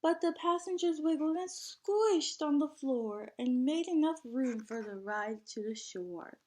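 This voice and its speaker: A woman reading a picture book aloud to young children in an expressive, teacherly way, pausing to put questions to them. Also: high-pitched.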